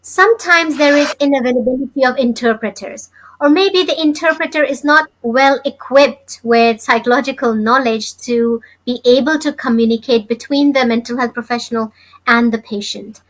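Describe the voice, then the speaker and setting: A woman hosting an interview over a video call, asking questions in a measured, polite way. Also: high voice, rough recording. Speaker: host. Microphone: video call webcam.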